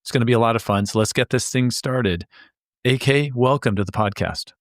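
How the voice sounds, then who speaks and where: A man's voice: medium pitch, a little fast, no room sound, clean studio sound. Upbeat man hosting a podcast. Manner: upbeat and enthusiastic, restarts words. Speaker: podcast host. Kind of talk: casual interview chat.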